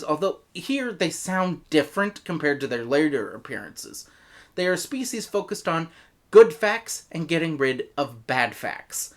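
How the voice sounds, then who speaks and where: A woman with an American accent giving a scripted review in an animated manner, with bursts of mock-exasperated emphasis. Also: deep voice. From United States. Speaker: audio drama reviewer.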